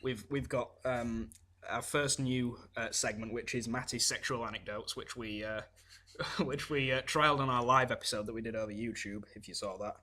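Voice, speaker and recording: Bright teenage boy keeping the podcast on track. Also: mid-range voice, steady tone, moderate pace. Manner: orderly, runs the schedule, dry. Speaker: podcast co-host. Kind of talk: casual chat.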